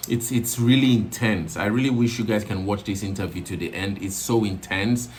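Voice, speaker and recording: A lower-pitched man hosts a commentary video, circling around his points. He talks in a rambling way, full of fillers and restarts, with a faint ambient hiss behind him. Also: normal speed. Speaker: commentary channel host.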